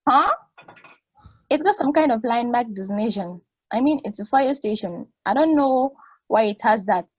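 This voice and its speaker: Actress as excitable female friend and navigator, husky voice, stage-play read, close dry lo-fi sound. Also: fairly high pitch, normal speed, no room sound. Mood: thrilled. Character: excitable woman guiding her friend over the radio link.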